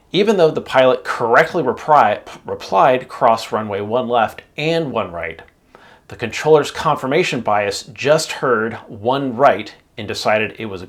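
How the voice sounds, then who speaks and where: Male explainer host for an aviation video, mid-range voice, measured and emphatic where it counts. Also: mid-range voice, animated.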